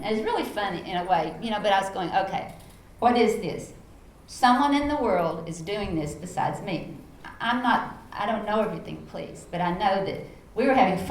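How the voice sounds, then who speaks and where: A middle-aged woman with a Texas accent, speaking on a conference panel and telling stories from her teaching in an animated way. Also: medium pitch. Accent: Texan. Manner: animated storyteller, acts out her own quoted lines. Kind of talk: panel talk, personal storytelling. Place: conference room. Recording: echoey room, faint background noise.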